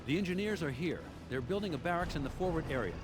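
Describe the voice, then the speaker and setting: An actor playing a keen soldier giving field reports in a war video game. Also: male voice, fairly high pitch, animated, quick pace, natural voice. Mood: reporting. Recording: very loud music behind.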